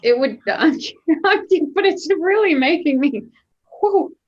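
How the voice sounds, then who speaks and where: A woman co-hosting a casual chat, playful and quick to laugh. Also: high voice, animated, lo-fi sound. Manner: giggly, playful. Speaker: co-host.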